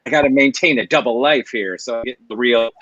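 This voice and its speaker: A man in his forties, a guest voice actor who teaches by day, chatting quickly with fillers. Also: mid-range voice, a bit bright, no echo. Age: 40s. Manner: quick, filler-heavy speech. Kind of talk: casual chat. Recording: dull, dry remote mic.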